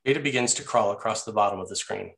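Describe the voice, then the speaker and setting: Low-ish male screenplay narrator. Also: fast, vocal fry, fairly bright tone. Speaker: narrator reading stage directions.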